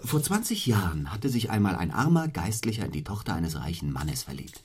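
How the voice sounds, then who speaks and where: A cold, driven clergyman from a radio drama, with a low, husky male voice. He speaks formally and deliberately, stern and rhetorical, as if every sentence were weighed. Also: very animated, a little mellow, heavily acted. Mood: measured storytelling. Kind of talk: German radio play acting.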